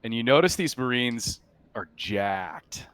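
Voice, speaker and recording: A man's voice: mid-range voice, lively intonation, takes it slowly, fairly bright tone, smooth, dry recording. A man running a tabletop roleplaying game as game master, improvising descriptions in an informal, casual way.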